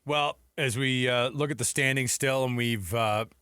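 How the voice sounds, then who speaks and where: Male American sports talk host, medium pitch, casual delivery, close dry studio sound. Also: normal speed, pushed and bright, smooth, no room sound.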